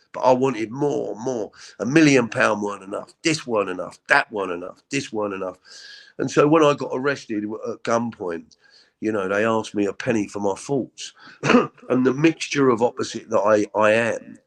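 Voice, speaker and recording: A middle-aged man with a deep voice, telling his story as an interview guest in a halting, candid way with many repeats. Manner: halting, repeats words, frequent ums.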